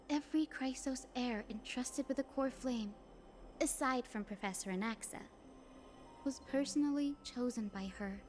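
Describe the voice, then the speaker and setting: An actress playing a gentle, modest young woman healer in a video game, speaking politely and earnestly. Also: high-pitched, slight background noise. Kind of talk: scripted game voice acting. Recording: music behind the voice. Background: background music.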